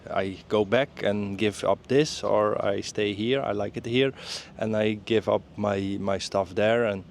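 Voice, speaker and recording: A man's voice: on the low side, fairly even intonation, measured pace, a little hiss or hum. A man from the Netherlands who works in e-commerce, talking in plain clipped phrases in an outdoor interview.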